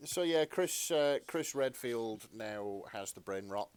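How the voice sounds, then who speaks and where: Bemused man with lively, varied intonation. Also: mid-range voice, slow pace, smooth. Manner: hesitant, bemused, sweary. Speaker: podcast co-host. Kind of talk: casual chat, reading fan fiction aloud.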